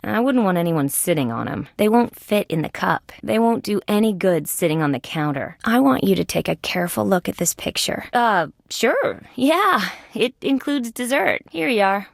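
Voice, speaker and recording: A woman playing a young, wry, determined female insect police detective in a scripted adventure video game, with conversational, clearly spoken patter. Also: mid-range voice, no room sound, clean studio sound. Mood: polite.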